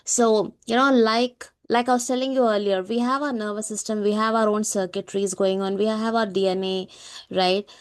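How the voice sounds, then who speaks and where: A woman's voice: mid-range voice, fairly even intonation, normal speed, clear, resonant tone. Woman explaining in a conversational way. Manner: explanatory, often asking "right?" for agreement. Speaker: podcast co-host. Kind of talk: conversational spiritual talk.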